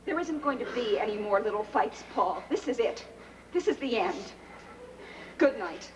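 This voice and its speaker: An actress playing an emotional, stubborn young newlywed wife in a theatrical, emphatic stage comedy style. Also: female voice, a little high, animated, moderate pace, close to an everyday voice. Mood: coldly resolute. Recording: roomy, distant, clearly noisy stage recording.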